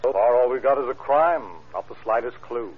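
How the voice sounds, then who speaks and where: An actor playing a weary, dryly gloomy small-town sheriff in an old-time radio crime drama. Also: male voice, mid-range voice, a little fast, husky, natural voice. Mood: weary, grim. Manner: dry, grim, plain-spoken. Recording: muffled, hissy old radio recording.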